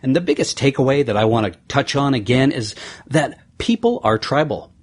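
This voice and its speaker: Guy hosting his podcast solo, kinda husky voice, earnest pep-talk vibe. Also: medium pitch, animated, moderate pace. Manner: earnest, motivational, conversational. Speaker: podcast host.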